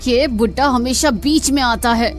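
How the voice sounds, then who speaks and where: A woman playing a menacing, seductive female ghost in a horror story, taunting in a drawn-out way. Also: fairly high pitch, faint music behind, close to an everyday voice. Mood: taunting menace.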